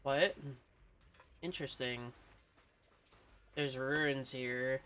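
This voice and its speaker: A man's voice: medium pitch, fairly even intonation, drawn-out words, sharp tone, clean tone. A man streaming a game, musing aloud in casual live commentary.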